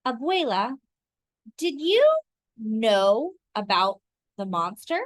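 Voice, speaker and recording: A woman's voice: fairly high pitch, very animated, takes it slowly. A woman voicing a curious, eager young girl who asks many questions.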